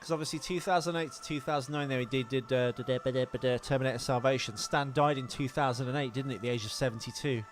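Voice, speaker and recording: A man's voice: mid-range voice, steady tone, fast, few pauses. A man co-hosting a film podcast in casual chat, with an irreverent, crude style of banter. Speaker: podcast co-host.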